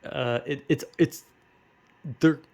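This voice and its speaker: A man's voice: a little high, fairly even intonation, slow pace, darkish tone. A young American man talks off the cuff in a loose, rambling way. His speech is slangy and full of swearing, like casual opinion commentary among friends. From United States. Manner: rambling, slangy, heavily profane.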